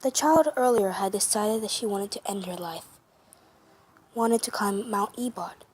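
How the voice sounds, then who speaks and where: A woman narrating a fan story for her first amateur comic dub, halting with many restarts and self-corrections. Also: mid-range voice, a little hiss or hum. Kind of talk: reading a fan story aloud.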